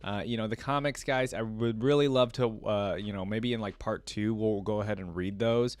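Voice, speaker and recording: A man's voice: lowish voice, fairly even intonation, normal speed, no echo. A young man hosting a reaction video, reading passages aloud and breaking off into casual, filler-heavy asides. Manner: reads text aloud, then breaks off with chatty asides and filler.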